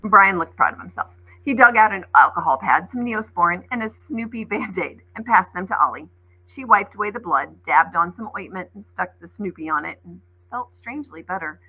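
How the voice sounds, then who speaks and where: A woman with a bright, forward voice that has a husky edge. She reads like a schoolteacher sharing a storybook, expressive and clear in her intent, explaining things plainly for young listeners. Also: mid-range voice, normal speed, low-quality recording. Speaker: schoolteacher reading aloud. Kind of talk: expressive storybook read-aloud with asides.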